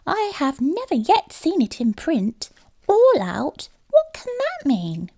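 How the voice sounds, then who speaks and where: A woman's voice: high voice, wildly swooping pitch, takes it slowly, warm tone, voice pushed up, heavily acted. A woman voicing a small, trusting but questioning female mouse in a storybook, prim and wordy in manner. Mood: puzzled, suspicious. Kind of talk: storybook character voice.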